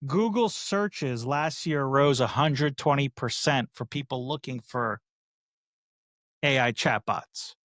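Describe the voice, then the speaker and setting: A man co-hosting a casual talk podcast, emphatic and quick to react as he reels off figures. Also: medium pitch, a bit bright, no room sound, studio quality. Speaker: podcast co-host. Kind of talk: casual chat.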